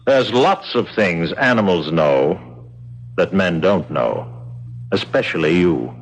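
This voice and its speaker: An actor playing a cold, knowing jailer with a terse, dismissive manner in an old-time radio drama. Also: male voice, sits low, lively intonation, slow pace, full character voice. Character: cold, knowing male jailer, callously sure of himself. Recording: dull vintage radio recording.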